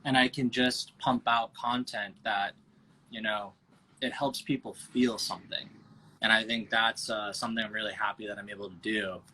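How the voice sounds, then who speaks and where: A man chatting on a video call from his phone as a podcast guest, relaxed, swearing often, calling the host "bro". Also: fairly low pitch, moderate pace, slight background noise, poor audio. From United States. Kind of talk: casual interview chat. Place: home over video call.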